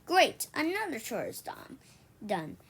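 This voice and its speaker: A woman as a high-voiced, pleased young girl. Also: very animated, measured pace, slightly rough, full character voice. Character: young girl pleased with her trained dog.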